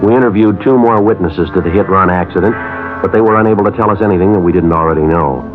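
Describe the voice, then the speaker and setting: An actor playing a terse, businesslike police sergeant with a clipped, deadpan delivery in a 1950s radio drama. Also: male voice, deep voice, fast, lightly acted. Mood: flat, factual. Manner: clipped, matter-of-fact deadpan. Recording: tinny vintage radio recording with music.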